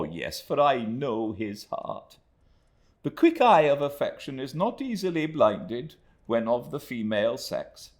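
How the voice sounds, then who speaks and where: A man voicing a distressed, effusive middle-aged wife who writes a florid, imploring letter. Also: lowish voice.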